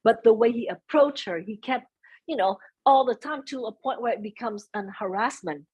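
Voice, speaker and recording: A soft-spoken middle-aged woman speaking as a guest in an interview, chatting about family, sometimes slipping into Vietnamese phrases. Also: a little high, normal speed, breathy edge. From United States. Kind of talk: conversational interview.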